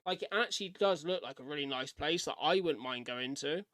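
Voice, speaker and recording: A man's voice: a little high, fairly quick, a bit bright, no room sound. A man giving a casual live-stream reaction, reading things aloud and commenting as he goes. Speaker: streamer reacting to horror videos.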